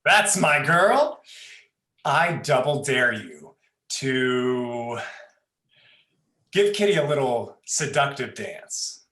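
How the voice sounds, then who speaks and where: A man's voice: mid-range voice, sing-song, very slow, long pauses, pushed and bright, roomy sound, exaggerated voice. A man playing a leering, sadistic twin brother in a horror play, mocking and taunting. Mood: taunting menace. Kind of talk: dramatic livestream script reading.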